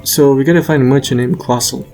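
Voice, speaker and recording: A man's voice: mid-range voice, steady tone, quick pace, mellow, poor audio. A man giving casual commentary as he plays a video game, with game music behind him. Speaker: gamer playing through a quest. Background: faint game music.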